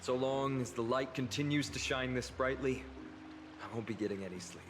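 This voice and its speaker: A man playing a restless, earnest young fantasy hero in a video game, speaking softly, politely and reflectively. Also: mid-range voice, normal speed, lightly acted. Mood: pensive, restless. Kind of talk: video game character dialogue. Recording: hall reverb, clear music behind.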